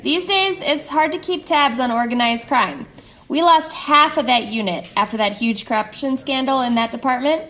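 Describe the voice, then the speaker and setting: An actress playing a brash, wisecracking, tough police officer in an audio drama, casual and cheeky. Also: female voice, high voice, normal speed, sharp tone. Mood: wry, upbeat.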